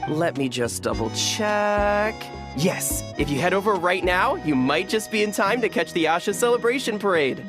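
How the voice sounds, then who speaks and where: A man's voice: high-pitched, animated, moderate pace, hardly any pauses, over music. A man playing a polite, helpful resort receptionist in a video game, with formal, courteous customer-service patter. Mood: obliging. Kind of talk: scripted video game dialogue.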